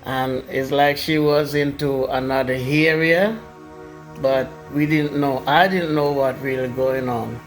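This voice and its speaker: A man speaks slowly and reflectively at a middle pitch as part of a personal testimony. Soft music runs faintly under his voice. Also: steady tone.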